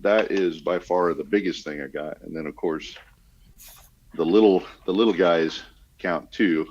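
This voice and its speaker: A man chatting casually on a podcast panel, an enthusiastic hobbyist explaining things in a halting way. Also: deep voice, a little mellow, slightly rough, muffled recording. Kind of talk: casual chat.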